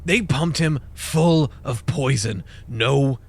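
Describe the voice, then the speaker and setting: A man's voice: mid-range voice, takes it slowly. A man playing a lonely, sickly and stubborn night-shift worker in a scripted comic dub. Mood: weary, stubborn. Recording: clearly noisy background.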